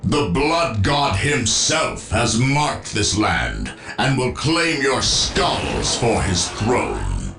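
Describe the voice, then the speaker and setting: An actor playing a zealous, menacing Chaos Space Marine lord in a video game, preaching in a grandiose, theatrical manner. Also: male voice, medium pitch, sing-song, vocal fry, exaggerated voice. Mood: grandiose menace. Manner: grandiose, preaching, slow and deliberate. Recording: echoing voice over music.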